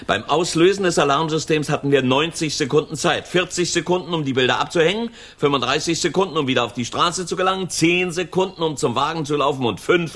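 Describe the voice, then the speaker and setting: Husky, hard-nosed gangster, swinging pitch. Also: male voice, fairly bright tone. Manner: emphatic, lecturing, precise. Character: hard-nosed, calculating male gang member in a crime drama. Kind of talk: German radio crime drama acting.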